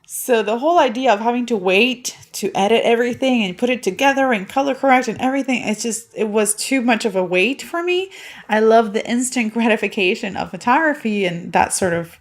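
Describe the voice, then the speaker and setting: Woman from puerto rico hosting her podcast, thirties, just chatting through her story. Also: medium pitch. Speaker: podcast host. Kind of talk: solo podcast monologue.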